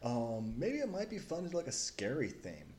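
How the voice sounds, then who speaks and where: Man, late twenties, podcast co-host; mellow tone, upbeat and joking, echoey room. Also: medium pitch, huge pitch swings, normal speed, a little hiss or hum. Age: late 20s. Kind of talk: casual chat. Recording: echoey room, faint ambience.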